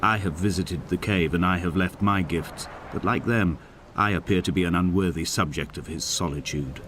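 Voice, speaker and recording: An actor playing a solitary, melancholy middle-aged man, narrating in a measured, solemn, literary style for a game. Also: low-pitched, a bit bright, slight background noise. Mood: wistful, reflective. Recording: music behind the narration. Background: clear background music.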